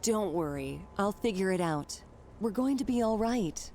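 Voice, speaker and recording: Mellow, reassuring mother. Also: mid-range voice, lively intonation, measured pace, a little hiss or hum. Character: reassuring, resolute mother, a museum curator. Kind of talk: video game cutscene acting.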